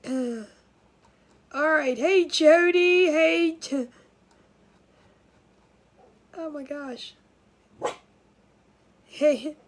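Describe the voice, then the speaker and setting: A woman's voice: sits high, drawn-out words, pauses often, slight background noise. A woman hosting a casual craft livestream from home, sounding nasal and congested, chatty and scattered, with frequent laughs and restarts.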